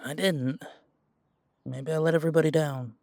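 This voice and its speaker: A man acts out a pure-blood werewolf full of self-doubt in an intimate audio drama. His voice sits a little high for a man and is recorded very dry. He talks haltingly, tripping over words, defensive and unsure of himself. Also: fairly even intonation, vocal fry, a little mellow, dry recording. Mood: regretful. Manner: hesitant, halting, stammering. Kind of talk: audio roleplay drama, one side of an intimate conversation.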